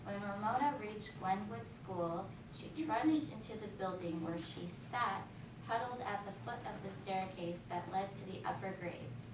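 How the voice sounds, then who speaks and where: A woman reading a story aloud to young children as their teacher, pausing to ask questions and chat with them. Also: medium pitch. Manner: pauses to question listeners and echo their answers. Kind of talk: read-aloud storytelling with chatty asides to children. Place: echoey classroom, recorded from a distance. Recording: distant, echoey, noisy room recording.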